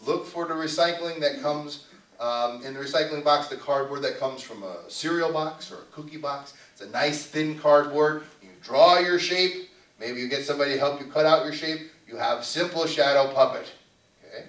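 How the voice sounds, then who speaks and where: A man telling stories live to children as a puppeteer, with dramatic, drawn-out delivery. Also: on the high side, fairly bright tone. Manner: dramatic pauses and drawn-out repetition, asks the audience questions. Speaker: puppeteer and storyteller. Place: arts center live show. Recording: roomy hall, distant mic, faint noise.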